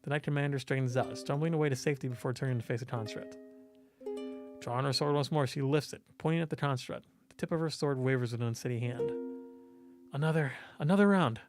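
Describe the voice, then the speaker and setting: A man's voice: medium pitch, fairly even intonation, quick pace, over music. A man giving a dramatic read-aloud narration of a visual novel, now and then stumbling over his words. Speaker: game let's-play host and voice actor.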